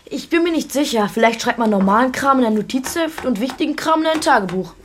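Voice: high-pitched, lively intonation, fast, a bit bright, slight background noise. An actor playing a withdrawn, homesick eight-year-old boy who answers guardedly in a radio drama. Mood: guarded, unsure. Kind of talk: German radio drama acting.

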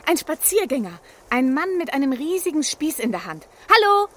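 Plucky high-voiced adventurer. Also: female voice, big pitch swings, fairly bright tone, slight background noise. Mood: eager. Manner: brisk, chirpy, decisive. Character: plucky, breezy, bossy woman balloon adventurer and reporter. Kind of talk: children's radio play.

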